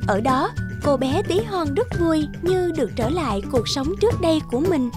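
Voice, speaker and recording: A woman reads a scripted fairy tale for children as narrator. Her voice is high, and music plays clearly behind it. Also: lively intonation, moderate pace.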